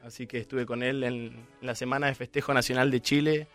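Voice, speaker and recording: Soft-spoken young man, radio guest. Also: mid-range voice, flat delivery, a little fast, faint music behind. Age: 20s. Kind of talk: casual interview.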